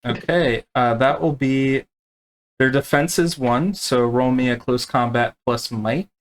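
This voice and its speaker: A man acting as game master, explaining rules and narrating tabletop play in a halting, unscripted way. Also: mid-range voice.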